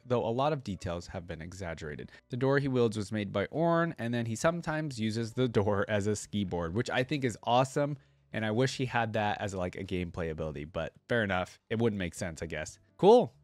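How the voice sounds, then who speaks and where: A man's voice: medium pitch, no room sound. A man hosting a reaction video, musing aloud in an easygoing way and reading bits out as he comments.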